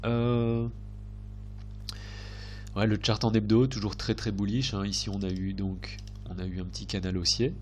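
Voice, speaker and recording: Deep-voiced man with a slight cold. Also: little pitch movement, moderate pace, pauses often, a little mellow, a little hiss or hum. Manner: hesitant, self-correcting, thinking aloud. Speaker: trading video host. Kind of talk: unscripted chart commentary.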